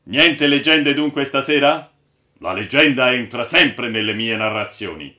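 A man's voice: mid-range voice, expressive, unhurried, sharp tone, voice pushed up. A man voicing a boastful, sardonic old sailor in a storybook, telling tales in a grandiloquent, dramatic way. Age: older.

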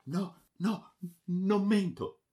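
A man voicing a grim, spiteful friar who pleads and insinuates haltingly in a story. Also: mid-range voice, expressive. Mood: pleading, insistent.

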